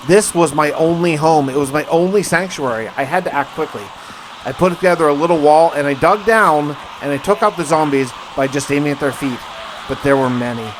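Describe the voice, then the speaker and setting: A man with a slightly high voice hosts a gaming role-play video. He narrates a survival tale in the first person, like a scripted log, with dramatic storytelling delivery. Music plays clearly behind his voice.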